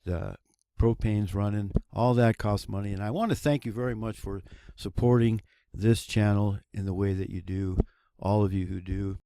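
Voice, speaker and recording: Low-voiced American man, slow and preachy. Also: dark tone, clean tone, dry recording. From United States. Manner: slow, deliberate, preachy emphasis with halting restarts. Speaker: channel host. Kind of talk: solo video monologue. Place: cold home running on a generator.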